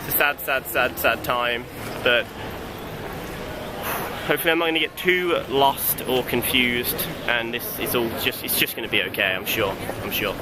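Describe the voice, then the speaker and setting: A man's voice: mid-range voice, moderate pace, background noise. A man presenting casually and informally, with a wry, self-deprecating manner. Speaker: student documentary presenter. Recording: music behind location audio. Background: music.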